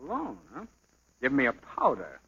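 An actor playing a cocky, jealous American showbiz dancer, sneering and slangy, in an old film drama. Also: male voice, medium pitch, wildly swooping pitch, normal speed, sharp tone, husky, dull audio, exaggerated voice.

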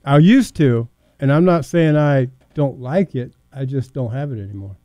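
A man's voice: mid-range voice, normal speed, warm tone, no room sound. A man hosting a radio show, chatting casually with teasing questions and stammered restarts. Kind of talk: casual talk radio banter.

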